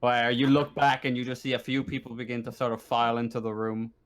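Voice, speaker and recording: A man narrating a tabletop roleplaying game as game master, in a halting, improvised way. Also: mid-range voice, completely flat delivery.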